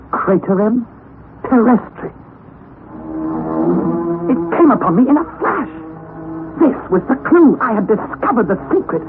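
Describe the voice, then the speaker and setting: A young actor playing a wry, anxious nephew who narrates the story, in a 1960s radio drama on a tinny, lo-fi broadcast recording. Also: male voice, high voice, a little hiss or hum. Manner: earnest storytelling, rising to excited outbursts.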